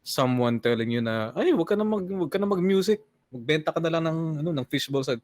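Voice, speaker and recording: An older man with a Filipino accent, a podcast guest talking in a deadpan way and mixing English with Tagalog in casual chat on a video call. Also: fairly high pitch, quick pace, dry recording, clean studio sound. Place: home room on a video call.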